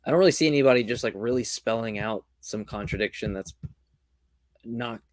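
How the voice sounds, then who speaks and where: A male host chats casually, joking around and rambling with lots of restarts. His voice sits at an everyday pitch and sounds dry and close to the mic. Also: fairly quick, dry recording. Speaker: podcast host. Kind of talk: casual discussion.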